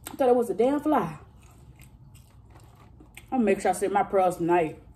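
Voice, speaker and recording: A woman in her thirties chatting casually with her viewers during a mukbang eating video, talking straight to them with drawn-out exclamations between bites. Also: mid-range voice, animated, warm tone. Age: 30s. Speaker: vlogger.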